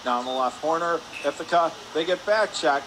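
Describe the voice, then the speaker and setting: High-voiced man calling hockey over loud noise. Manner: brisk clipped present-tense calls, rising on shots. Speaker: play-by-play radio announcer. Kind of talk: live hockey radio commentary. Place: indoor ice rink. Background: loud arena ambience noise.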